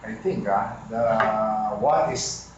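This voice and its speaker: A Filipino-accented businessman in his fifties, speaking slowly and searching for words in a group discussion. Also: lowish voice. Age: 50s. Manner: slow, halting, searching for words. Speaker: focus group participant, businessman. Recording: echoey room, lo-fi, background noise.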